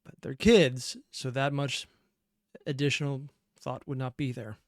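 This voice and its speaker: A man's voice: medium pitch, flat delivery, measured pace. A man hosting a reaction video, analyzing as he watches and often trailing off mid-thought. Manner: thinks aloud, trails off mid-sentence, analytical. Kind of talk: casual commentary reacting to a video.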